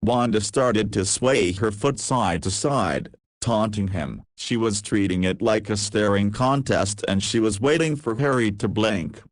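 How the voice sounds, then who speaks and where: A man narrates a story in a fairly low voice. The recording is dry and close, with hardly any room to it. He reads scripted prose as the narrator. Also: unhurried, few pauses, dry recording.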